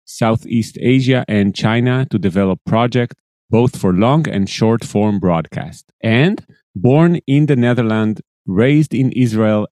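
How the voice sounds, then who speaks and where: Podcast host, male, mellow tone, dry close sound, upbeat and eager. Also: on the low side, dry recording. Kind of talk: podcast interview.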